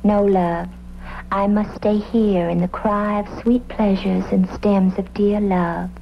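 A woman's voice: medium pitch, flat delivery, slow pace, gravelly. An actress playing a serene, eccentric, airy woman witness in an old-time radio drama. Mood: dreamy, forgiving. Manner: dreamy, airy, calls everyone "love". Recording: muffled, hissy old radio recording.

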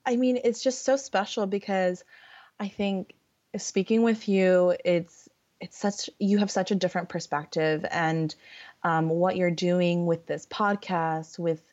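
A young woman with a Californian accent, a guest chatting in a friendly, giggly way with frequent ums and pauses. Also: on the low side, fairly even intonation, darkish tone, highs cut off. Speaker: podcast guest. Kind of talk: casual conversation over a video call.

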